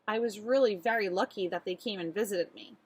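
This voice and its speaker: A woman voicing an earnest little girl who tells her own storybook tale in an expressive, pleading way. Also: medium pitch, brisk, husky, natural voice. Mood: wistful, puzzled. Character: earnest little girl narrator with a sweet tooth.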